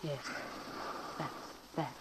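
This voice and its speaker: Woman playing a young nurse, urgent, tense, accusing, old radio drama, clearly noisy recording. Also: slow, natural voice. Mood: stricken, accusing. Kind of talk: 1940s radio acting.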